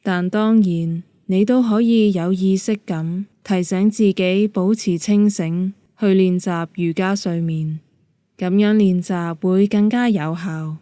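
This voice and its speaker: A woman with a Hong Kong Cantonese accent leading a guided meditation in a calm, gentle, measured manner. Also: mid-range voice, no room sound. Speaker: yoga nidra meditation guide.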